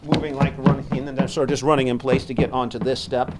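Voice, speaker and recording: A man's voice: mid-range voice, little pitch movement, fast, very noisy. A man working as a Foley artist, explaining things casually and enthusiastically, often rambling and interrupting himself. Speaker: radio play Foley artist.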